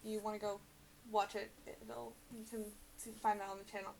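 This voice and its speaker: A woman's voice: mid-range voice, level tone, a little fast, creaky, darkish tone, a little hiss or hum, poor audio. A woman co-hosting in casual chat, joking in a self-deprecating way. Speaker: co-host.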